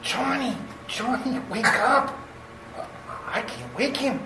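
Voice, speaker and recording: Anxious radio-play doctor sidekick, female actor, slightly high voice, noisy echoey room. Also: slow pace. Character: nervous sidekick doctor of a crook. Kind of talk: amateur radio play acting.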